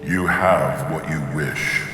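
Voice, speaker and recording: A man voicing a great magical golden fish in a storybook, solemn, slow and commanding, with a cavernous echo effect. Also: rumbling low, fairly even intonation. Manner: slow, commanding, portentous delivery. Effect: cavernous echo reverb. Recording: echoing long reverb, music behind.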